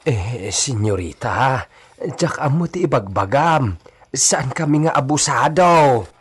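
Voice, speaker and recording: A man's voice: medium pitch, expressive, takes it slowly, slight background noise. A man with a Filipino accent playing a timid old villa servant in a comic radio drama, stammering hesitantly. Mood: pleading.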